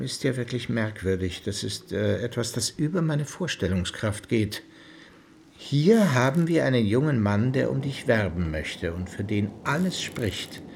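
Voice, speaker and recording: An actor playing a stern, indignant middle-aged uncle in a German radio drama, speaking formally and reproachfully with weighty pauses. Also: male voice, mid-range voice, darkish tone, slight background noise. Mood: incredulous. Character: stern, indignant uncle, patriarch of a genteel household.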